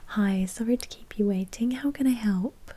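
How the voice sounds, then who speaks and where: A breathy, whispering female vampire who manages a bank, acted out by a woman for ASMR roleplay. Her voice is fairly high and soft-edged. She talks in a chatty, brisk way with a polite, officious streak. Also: lightly acted. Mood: polite, businesslike.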